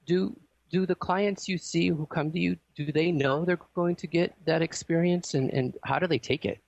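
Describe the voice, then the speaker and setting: Casting: woman radio co-host, conversational questioner with plenty of vocal fry, dry sound. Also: lowish voice, fairly even intonation, moderate pace, a little mellow, no room sound, dull audio. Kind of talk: conversational interviewing.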